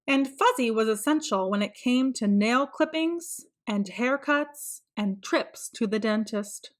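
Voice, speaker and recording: A woman reading a children's picture book aloud in a slow, expressive, sing-song manner. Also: on the high side. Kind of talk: read-aloud storytelling.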